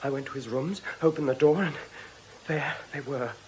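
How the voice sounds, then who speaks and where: An actor playing a highly strung, bitter young drug addict, halting and defensive, in a radio drama. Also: male voice, a little hiss or hum, lightly acted, low-quality recording. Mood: anguished.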